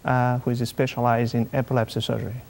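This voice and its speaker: A man's voice: mid-range voice, completely flat delivery, gravelly, a little mellow, slight background noise. A man from Spain, a neurosurgeon guest on a TV talk show, explaining carefully with many fillers. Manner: measured, halting with fillers. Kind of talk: TV interview, explaining.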